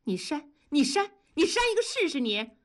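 女人演绎的 尖刻高嗓的吃醋妻子. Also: female voice, high-pitched, sing-song, moderate pace, pitched above the performer's own voice. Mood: furious. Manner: sneering, needling, sharp rhetorical questions. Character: sharp-tongued, jealous wife, cold and scornful. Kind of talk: audiobook character dialogue.

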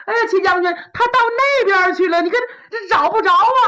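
A woman voicing a sly, evasive young man, an official's son, who whines and makes excuses. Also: bright, forceful tone. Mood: whining. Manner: whining, excuse-making, high and fast. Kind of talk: traditional Chinese storytelling.

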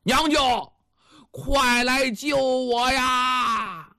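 Man acting a big rough vagabond captive, whining, pleading, storytelling style, high pitch, wide swoops. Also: very animated, measured pace, sharp tone, dry recording, studio quality, exaggerated voice.

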